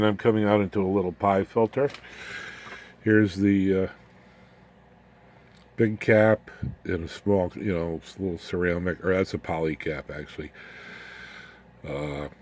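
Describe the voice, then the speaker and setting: A man with a notably low-pitched voice walks through a homemade electronics project as a hobbyist would. His delivery is unscripted and informal, with folksy phrasing, joking asides and a rambling path through the technical details. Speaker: amateur radio hobbyist presenting his home-built project.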